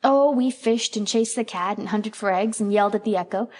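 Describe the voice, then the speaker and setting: A woman voicing an excitable young farm boy who is eager and boastful. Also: mid-range voice, fairly quick, lightly acted. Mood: curious.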